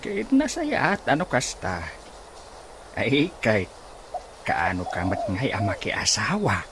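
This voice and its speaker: Meddling relative played by an actor, Ilocano accent, wildly swinging intonation, radio comedy, loud bird and insect noise. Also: medium pitch, huge pitch swings, slow, exaggerated voice. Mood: prying. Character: nosy, meddling relative, money-minded.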